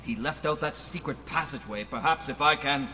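An actor playing a brash, excitable, rather dim space hero in an old-time radio serial. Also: male voice, a little high, fairly quick, big-room sound, very noisy, lightly acted. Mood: panicked, bewildered.

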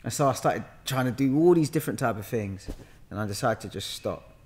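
A man in his thirties with a London accent, chatting and telling stories in an animated, bantering way full of slang. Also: medium pitch, fairly quick. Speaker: podcast guest, actor. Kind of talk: casual conversational storytelling. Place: bright living room, on a sofa. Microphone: podcast mic on a boom arm.

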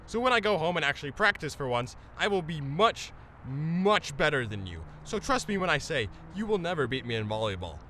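A man's voice: on the high side, very animated, fairly quick, sharp tone, slight background noise. Theatrical, self-important teenage boy played by a man. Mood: cold boasting. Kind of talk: comedic anime parody dub.

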